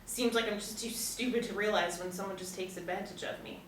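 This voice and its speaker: A woman acting a sharp-tongued woman, echoey room. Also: medium pitch, fairly even intonation, a little fast, close to an everyday voice. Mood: bitter. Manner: sarcastic, blunt. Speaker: actress at a screenplay table reading. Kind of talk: script table reading. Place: indoor room, seated. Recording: echoey room, distant mic, faint noise.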